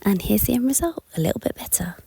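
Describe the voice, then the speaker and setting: A woman in her thirties talks through a home tidying vlog in a relaxed, rambling voice-over full of ums and yeahs. Her voice is slightly croaky from a sore throat, and the recording is dry and close with no room sound. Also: medium pitch, quick pace, dry recording. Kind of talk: casual voice-over chat.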